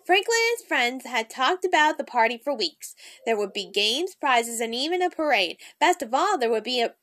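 A woman's voice: sits high, very animated, moderate pace, a bit bright. A woman hosting a read-along, reading a children's storybook aloud.

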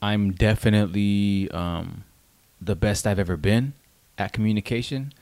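A man in his late twenties co-hosting a podcast, chatting casually and informally in a halting, rambling way with swearing. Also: on the low side, a little mellow, no room sound. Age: late 20s. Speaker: podcast co-host. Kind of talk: casual unscripted podcast chat.

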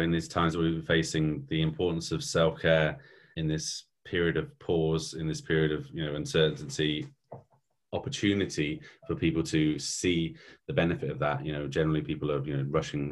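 Very deep-voiced, rambling male host. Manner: rambling, hesitant, with many "you know"s. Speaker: podcast host. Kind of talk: interview questions.

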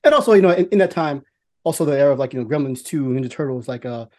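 A middle-aged man from New York in a casual podcast chat about films. He talks very quickly in a medium pitch, rambling and repeating himself as he goes, with plenty of swearing. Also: animated, darkish tone. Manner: rambling, halting, repeats words, profane. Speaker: podcast co-host.

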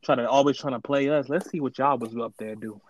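A man co-hosting a podcast in casual chat, blunt and quick with joking asides. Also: medium pitch. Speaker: podcast co-host.

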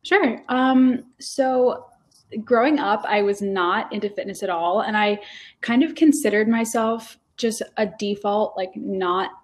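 A woman's voice: medium pitch, a little slow. A woman in her early twenties from Rhode Island, a guest telling her story in casual, rambling talk full of fillers and restarts. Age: 20s. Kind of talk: casual interview chat.

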